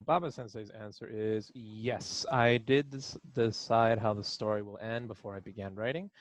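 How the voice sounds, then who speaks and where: A man's voice: fairly low pitch, fairly even intonation, normal speed, no room sound. A man chatting casually and reading out answers in an interview, playful and enthusiastic. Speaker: editor reading author's answers. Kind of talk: casual panel chat with read-out answers.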